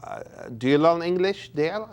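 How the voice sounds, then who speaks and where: A man interviewing a guest, asking questions in a halting way with frequent restarts and repeated words. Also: fairly high pitch, clean tone.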